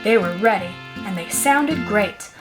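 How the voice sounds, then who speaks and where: Female storybook reader, higher register, very animated swings, expressive emphasis, music underneath. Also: measured pace. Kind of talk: animated read-aloud narration. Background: music with plucked guitar.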